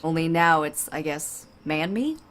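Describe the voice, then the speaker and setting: A woman's voice: deep voice, lively intonation, unhurried, a bit bright, slight background noise. An actress playing a lovelorn, sardonic woman diplomat, conversational and hesitant, in an audio drama. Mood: teasing. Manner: hesitant, conversational, self-correcting.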